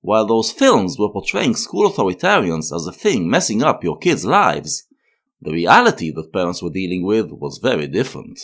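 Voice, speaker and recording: A man delivering scripted, opinionated video essay narration with formal phrasing, as the host. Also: fairly low pitch.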